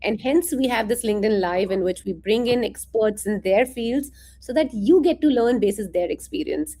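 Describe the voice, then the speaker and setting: A woman's voice: a little high, animated, moderate pace, dark tone. A woman hosting a live interview stream, introducing her guest enthusiastically over a video call.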